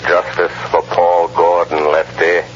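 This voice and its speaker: An actor playing a mysterious, mocking avenger in an old radio drama, speaking deliberately and theatrically. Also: male voice, low-pitched, completely flat delivery. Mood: mocking menace. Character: mysterious, menacing male avenger, calm and mocking. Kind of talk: 1930s-40s radio drama acting. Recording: scratchy, muffled old radio recording.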